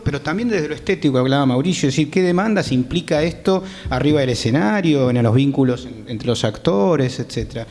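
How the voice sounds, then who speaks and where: A man hosting a conversational panel, asking questions in a halting, repetitive way. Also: mid-range voice, gravelly, a little mellow. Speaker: host, moderator. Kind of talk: conversational interviewing. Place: theatre, live panel. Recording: faint background noise.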